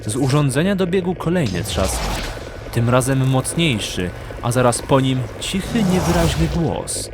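A man narrates a scripted fantasy story in a medium-pitched voice. His delivery is measured and suspenseful, like dramatic audiobook storytelling, with music clearly playing behind him. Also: very animated.

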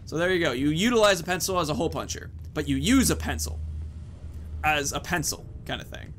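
A man's voice: on the high side, lively intonation, fairly quick, a bit bright, a little hiss or hum. A man in his thirties giving casual, informal, rambling commentary as he hosts a video game playthrough. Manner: rambling, informal, swears mildly. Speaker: gaming video host.

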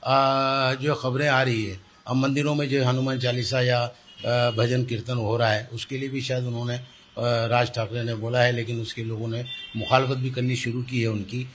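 A man's voice: mid-range voice, level tone, normal speed, a bit bright. Older man, noisy room. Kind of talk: spoken news interview answers. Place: small indoor office. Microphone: handheld reporter's microphone held close. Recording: clear background room noise.

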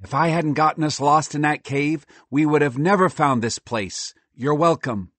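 A man voicing an excitable, boastful male squirrel who loves to invent, eager and cocky in a children's storybook. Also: a little high, moderate pace, dry recording, voice pushed up. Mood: smug.

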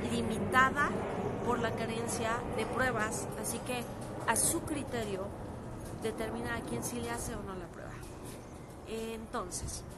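A woman in her thirties with a Mexican accent talks casually and earnestly into her phone on an outdoor terrace. She is hoarse from a sore throat and dry cough, and she pauses often with fillers. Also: fairly high pitch, heavy background noise. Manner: earnest, halting, with frequent fillers. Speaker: actress streaming live. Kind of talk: casual live-stream talk. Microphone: phone at arm's length.

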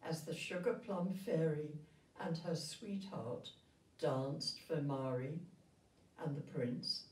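An elderly woman reading a storybook aloud to children in a gentle, grandmotherly and expressive way. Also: sits low, takes it slowly. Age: 70s or older. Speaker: retirement village resident reading a story. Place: indoor room with festive backdrop. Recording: roomy sound, distant microphone.

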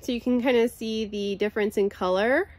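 A woman in her thirties giving a casual, explanatory homestead vlog, unhurried and pausing mid-sentence. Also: a little high, fairly even intonation, a little mellow. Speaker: homesteading vlogger. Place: outdoors in a covered chicken run and coop. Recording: outdoor ambient noise.

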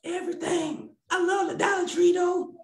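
A man's voice: very high voice, steady tone, moderate pace, gravelly, echoey room. A man voicing a bawdy, churchgoing old grandmother puppet who drawls folksy lines and calls people baby, over a video call. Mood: sassy. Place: small room at home, video call.

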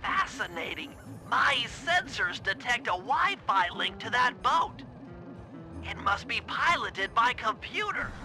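A man's voice: very high-pitched, big pitch swings, slow pace, pushed and bright, full character voice. A man voicing a brainy, nerdy male turtle tech expert in a video game, fussy and dramatic. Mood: intrigued. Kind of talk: video game cutscene dialogue. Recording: game audio with faint music.

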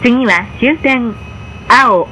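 A woman reading scripted train announcements in a formal, measured way. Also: fairly high pitch, sharp tone, a little hiss or hum, low-quality recording.

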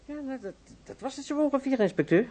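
An actor playing a timid, deferential office clerk in a radio play, hesitant and apologetic. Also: male voice, extremely high pitch, animated, fast talker, mellow. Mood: nervous, eager.

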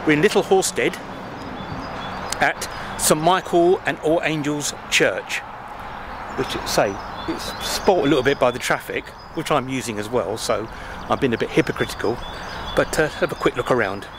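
Middle-aged english guy rambling to his phone cam, medium pitch, loud road noise and wind around him. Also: normal speed. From England. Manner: chatty, rambling, self-deprecating asides. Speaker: travel vlogger. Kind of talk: casual walking vlog. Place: outdoors by a roadside country churchyard. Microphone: handheld selfie camera at arm's length. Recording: traffic noise and wind outdoors. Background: passing traffic and wind.

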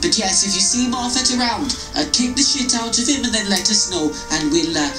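A man putting on a lively, bad Welsh accent as a smug showrunner in a pre-recorded video message. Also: high-pitched, fairly quick, reverberant, loud music behind the voice, pitched above the performer's own voice. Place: small home office, indoors.

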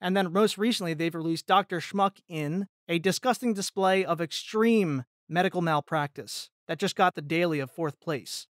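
A man with a fairly high voice chats casually as a podcast guest. His talk is informal and full of "like" and "you know", and the sound is close and dry. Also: normal speed, few pauses, no room sound.

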